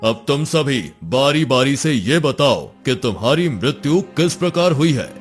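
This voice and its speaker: A man's voice: medium pitch, big pitch swings, measured pace, soft background music. A man voicing an imperious god of death holding court in a cartoon moral story, with a stately, theatrical delivery.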